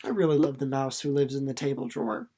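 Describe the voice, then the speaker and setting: A man's voice: medium pitch, steady tone, fast talker, mellow. A young man reading a storybook aloud and commenting on it in an informal, chatty way, musing as he goes. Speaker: chapter reader and commentator.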